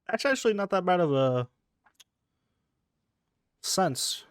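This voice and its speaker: A woman's voice: lowish voice, sing-song, takes it slowly, no echo, studio quality. A woman giving casual playthrough commentary on a game, dropping offhand, wry asides. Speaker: gamer reacting to a story quest.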